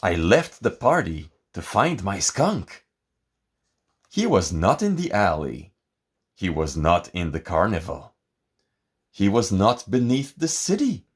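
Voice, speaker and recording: A man reading a picture book aloud in a theatrical, expressive and deliberate way, as scripted narration. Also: fairly low pitch, slightly rough. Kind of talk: dramatic scripted storybook narration.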